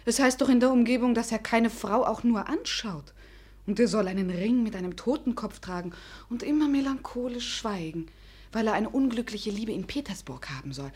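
A woman's voice: fairly high pitch, expressive, normal speed, a little mellow, husky. An actress playing a romantic, haughty young lady of the gentry in a German radio drama, imperious and eagerly curious. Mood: dreamy, curious. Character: impatient, romantic young noble lady, haughty but girlish.

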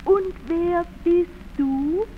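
An actress playing a sweet young princess in an old radio play, soft and innocent. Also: female voice, very high voice, drawn-out words, long reverb tail, phone-quality sound, slight background noise, exaggerated voice. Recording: 1930s radio recording with music.

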